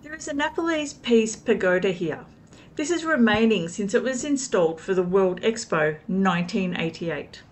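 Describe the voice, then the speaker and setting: A middle-aged woman narrating a travel vlog voice-over, calm and informative, giving tips and recommendations. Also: medium pitch, moderate pace. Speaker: travel vlogger. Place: outdoors at a riverside city park.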